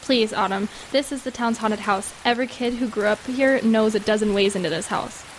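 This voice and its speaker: A woman playing a steady, loyal, slightly uncanny teenage girl, quiet and matter-of-fact, in an audio horror drama. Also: mid-range voice, fairly quick, close to an everyday voice, low-quality recording. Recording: hiss and rain behind the voice.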